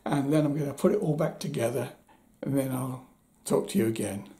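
A man in his seventies giving a casual, unscripted review with wry understatement, in a husky voice. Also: medium pitch, fairly quick, warm tone. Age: 70s. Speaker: audio equipment reviewer. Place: indoor room at home.

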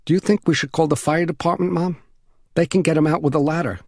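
Man doing a high-pitched, nagging little boy. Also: fairly even intonation, fast, darkish tone, no room sound, clean studio sound. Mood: insistent. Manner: questioning, insistent. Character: blunt, nagging young neighbour boy.

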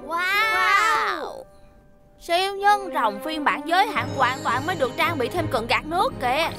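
An excitable small boy in a children's cartoon. The extremely high voice leaps wildly up and down over loud music. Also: measured pace. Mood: excited. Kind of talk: cartoon dub.

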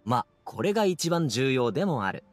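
A man's voice: mid-range voice, very animated, normal speed, no room sound, soft background music, close to an everyday voice. A man voicing an easygoing but headstrong young man in an anime game, speaking in a casual, youthful way. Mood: relaxed, amused. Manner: casual youthful masculine speech.

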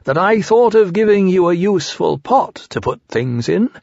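A simple, good-natured young male bear from a storybook, put on by a man reading aloud. He speaks earnestly and unhurriedly in a raised, husky voice. The recording is dry and slightly dull. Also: sits high, lively intonation, normal speed, darkish tone, no room sound, pitched above the performer's own voice, exaggerated voice. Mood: cheerful.

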